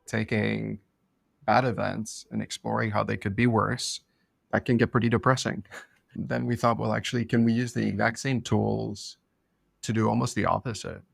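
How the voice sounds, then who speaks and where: A man's voice: fairly low pitch, flat delivery, normal speed, creaky, no echo, studio quality. A middle-aged man being interviewed as an expert guest, speaking thoughtfully and often restarting his sentences. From France and elsewhere. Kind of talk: conversational podcast interview.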